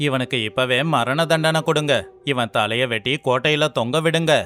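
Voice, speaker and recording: A man playing an arrogant, hot-tempered young prince in an animated folk tale. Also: medium pitch, normal speed, close to an everyday voice. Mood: angry, contemptuous. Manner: haughty, demanding. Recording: music behind the voice.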